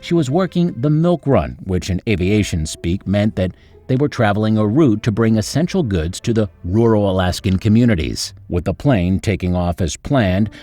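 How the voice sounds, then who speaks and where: A male storyteller reads a script in a suspenseful, upbeat way. His pitch sits on the low side but swings about in an animated manner. Music plays behind the narration. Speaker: narrator and host of a story channel.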